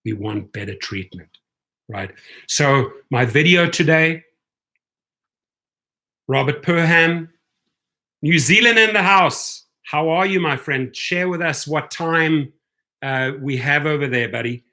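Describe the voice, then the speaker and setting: A man's voice: a little high, lively intonation, slow pace, long pauses, sharp tone. South African man rallying drivers on a livestream. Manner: rallying, exhorting fellow drivers with repeated "right?". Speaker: rideshare livestream host. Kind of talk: live-stream chat reading viewer comments.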